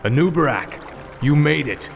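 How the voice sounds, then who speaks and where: A man's voice: a little high, animated, normal speed, gravelly, noisy background. An actor playing a grim, resolute fallen king turned undead death knight in a video game cutscene. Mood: relieved. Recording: game music behind voice.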